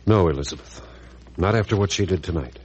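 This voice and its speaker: An American actor playing an earnest, stubborn, troubled physician husband in a 1940s radio drama. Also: male voice, deep voice, fast, darkish tone, slight background noise, natural voice. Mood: insistent. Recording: old 1940s radio recording.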